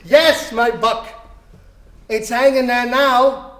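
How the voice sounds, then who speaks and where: A man's voice: very high-pitched, fairly even intonation, slow, fairly bright tone, pitched above the performer's own voice, heavily acted. A man voicing a cheeky Victorian street boy in a storybook reading. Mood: bright, incredulous. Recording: echoey room.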